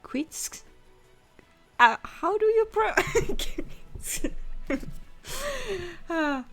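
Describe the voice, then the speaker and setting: A woman's voice: extremely high pitch, animated, drawn-out words, a bit bright, faint music behind. A young woman streaming live and chatting casually, giggling and laughing often as she talks.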